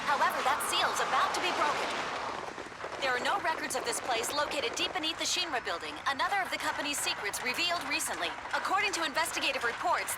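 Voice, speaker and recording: An actress playing a serious female TV news reporter in a video game, formal and crisp, delivering a scripted investigative report. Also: sits high, very noisy. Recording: loud music behind the voice.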